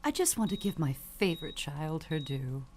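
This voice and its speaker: A woman playing an elderly, dying perfume matriarch in an audio suspense drama, genteel, measured and sly, with cutting endearments. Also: sits low, big pitch swings, background noise. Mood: wistful, scheming.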